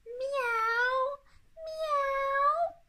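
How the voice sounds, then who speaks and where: A woman imitates small picture-book creatures and cuckoo clocks with sing-song calls. The sound is very high and bright, each call repeated playfully. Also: drawn-out words, heavily acted. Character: storybook night noises: birds, cats, cuckoo clock, little creatures.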